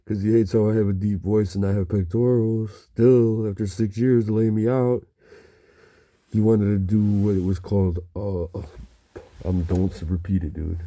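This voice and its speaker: A man talking in a halting, rambling monologue, murmuring and pausing with frequent low hums. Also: flat delivery, dark tone.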